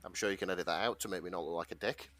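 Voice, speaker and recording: A man's voice: deep voice, fairly even intonation, rapid-fire, fairly bright tone. A man talking casually and wryly as a podcast co-host, summarising and reading out a story in an informal way. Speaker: podcast co-host presenting a fan fiction story.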